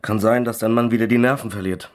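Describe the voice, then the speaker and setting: A man's voice: medium pitch, steady tone, fast talker, natural voice. A man playing a cautious lawyer and reluctant lover in a German radio crime drama, sober and reasoning. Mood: cautious, uneasy.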